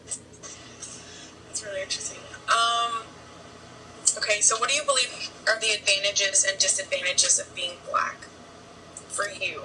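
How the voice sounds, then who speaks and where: Female interviewer, bright tone, meandering questions, set back from the mic with faint hum. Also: mid-range voice, little pitch movement, unhurried, long pauses, distant mic, poor audio. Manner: rambling, lots of likes.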